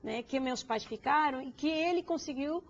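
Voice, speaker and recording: A woman from Cuba who speaks Portuguese with a Cuban Spanish accent, a guest in an interview, lively with some hesitant restarts. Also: sits high, moderate pace, dull audio. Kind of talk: conversational interview.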